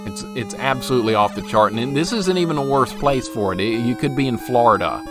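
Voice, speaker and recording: A man's voice: mid-range voice, animated, fairly quick. A man, chatting casually and rambling while he streams a retro game. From Alabama. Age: 40s. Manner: rambling, self-interrupting, chatty. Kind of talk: casual live-stream chat while playing. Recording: game music playing behind.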